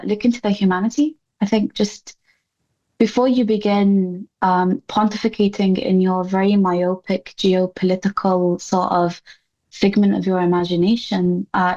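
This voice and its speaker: A woman's voice: mid-range voice, mellow, lo-fi sound. Articulate, impassioned woman in an interview. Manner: articulate, impassioned, emphatic repetition. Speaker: interview guest. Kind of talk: earnest spoken interview answers.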